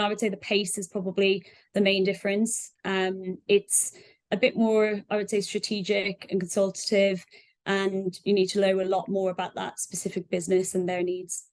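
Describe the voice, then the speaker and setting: A woman speaking conversationally as an interview guest, explaining things in a reflective, loosely hedged way. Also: medium pitch. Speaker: software sales representative, guest.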